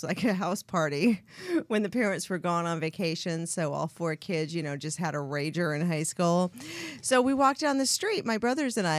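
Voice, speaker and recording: Woman host, lowish voice, strong, rambling, giggly, dry close mic. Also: dry recording. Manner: giggly, rambling, fills talk with "you know". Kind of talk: casual chat.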